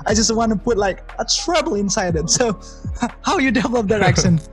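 A man's voice: high-pitched, lively intonation, moderate pace, soft background music. A man hosting a casual interview, chatty and laughing easily, with lots of "you know" fillers. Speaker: host.

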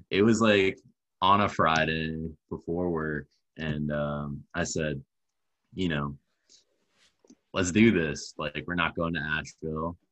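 Laid-back man, late 20s, very deep dry voice, casual podcast guest who laughs and swears a lot. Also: fairly even intonation, slow pace, long pauses, fairly bright tone, no echo. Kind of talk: casual chat.

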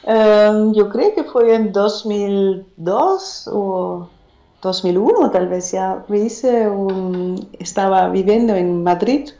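A woman's voice: medium pitch, slow pace, mellow, echoey room, dull audio. A woman from Germany with a German accent, a guest singer-songwriter chatting in an interview, talking hesitantly as she searches for words. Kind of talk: casual interview.

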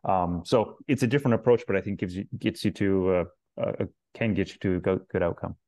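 Rambling man with a low voice. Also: fairly quick, a little mellow. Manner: rambling, many fillers and restarts. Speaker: searcher guest. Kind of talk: casual interview answers.

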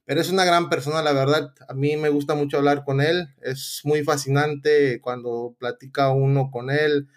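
A man's voice: a little high, steady tone, normal speed, hardly any pauses. A man with a Mexican accent hosting a casual podcast interview, chatty and rambling, with many restarts. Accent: Mexican Spanish. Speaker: podcast host.

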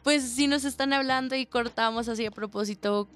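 A woman's voice: fairly high pitch, fairly quick, a bit bright, clear, resonant tone. A young woman with a Colombian accent, chatting as a podcast guest about her call center work, laughing as she tells stories. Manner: chatty, laughing often, acts out calls. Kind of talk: casual podcast conversation.

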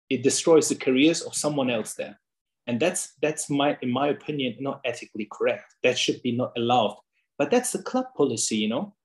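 A man whose first language is German, talking earnestly in a casual interview from his kitchen table, with frequent fillers and restarts. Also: mid-range voice. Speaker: author and guest.

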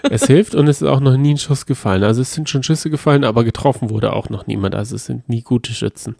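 Male podcast co-host, gravelly and mellow, chatty and eager. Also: mid-range voice, quick pace, no echo, studio quality. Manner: enthusiastic retelling, often restarts sentences. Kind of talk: casual chat.

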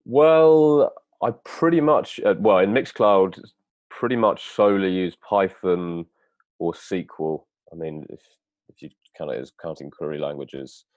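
Low-voiced laid-back man, dry sound. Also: measured pace, a little mellow, no room sound. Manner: casual, lots of "like" and "kind of". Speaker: guest, data engineer. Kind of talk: casual interview answers.

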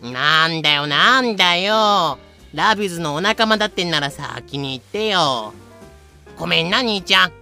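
An actor in a fan audio drama playing a brash pirate captain with rough, swaggering speech. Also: mid-range voice, expressive, moderate pace, pushed and bright, faint music behind, exaggerated voice. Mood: chummy. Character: brash male pirate captain, menacing thug turned chummy.

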